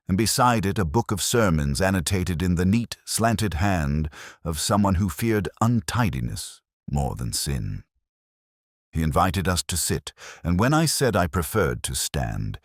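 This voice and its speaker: Very deep-voiced man narrating a detective story. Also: dry recording, clean studio sound. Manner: measured, literary, solemn delivery. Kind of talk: scripted first-person detective story narration.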